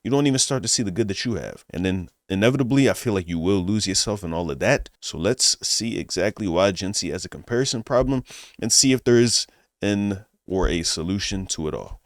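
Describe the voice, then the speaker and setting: Male reaction host, deeper than most, dry studio-close audio, casual motivational slang. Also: brisk, no room sound. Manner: laid-back slang, says "bro" and "gang" often; encouraging, motivational. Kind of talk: casual commentary.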